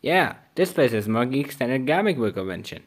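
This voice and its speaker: A man voicing a quick-witted, sarcastic cartoon boy hero in a game fan dub, dry in manner. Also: medium pitch, big pitch swings, a little hiss or hum, natural voice. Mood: brash, sarcastic.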